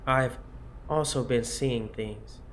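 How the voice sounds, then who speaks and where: A man plays an uneasy, questioning patient in a horror visual novel. The pitch is middling for a man, and the delivery is hesitant. Light background noise sits behind the voice. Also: steady tone, slow, a bit bright. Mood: uneasy, confiding. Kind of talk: visual novel read aloud in character.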